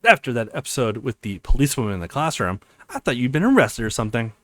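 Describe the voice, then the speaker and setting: A man's voice: medium pitch, big pitch swings, quick pace, dry recording, pitched below the performer's own voice, close to an everyday voice. Man putting on a cool, formal woman professor. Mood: cool, weighing. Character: skeptical, formal woman professor, coolly authoritative.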